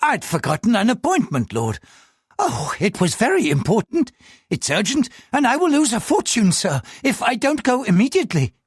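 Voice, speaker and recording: A man's voice: extremely high pitch, wildly swooping pitch, normal speed, husky, no echo, studio quality, voice pushed up, exaggerated voice. A narrator putting on a whiny, pleading businessman. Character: nervous fawning middle-aged male businessman.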